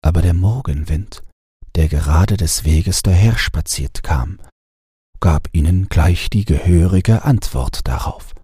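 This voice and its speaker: A man with a low, very husky voice reads a children's bedtime tale. His delivery is expressive and unhurried, as a storyteller's. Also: dry recording, studio quality. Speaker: audiobook narrator. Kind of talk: scripted bedtime fairy-tale narration.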